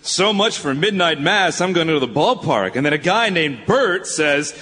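Breezy wealthy businessman, boyish, high swooping voice, radio play. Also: lively intonation, moderate pace, bright, forceful tone. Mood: delighted. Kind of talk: live radio play acting. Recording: echoey hall, faint background noise.

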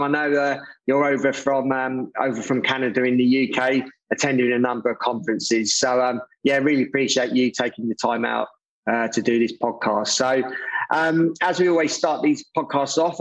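Informal male podcast host, medium pitch. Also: rough recording. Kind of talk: casual interview hosting.

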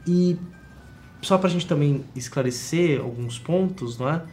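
A man's voice: a little high, a little slow, darkish tone, soft background music. A man hosting an interview, asking long, rambling questions. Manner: asks long rambling questions, frequent tag "né".

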